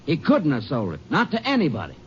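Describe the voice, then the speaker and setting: An actor playing a defensive, stubborn rural feed buyer with a folksy, emphatic delivery in old radio drama. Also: male voice, a little high, huge pitch swings, quick pace. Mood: indignant, insistent. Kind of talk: 1940s-50s radio drama acting. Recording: muffled, noisy old radio recording.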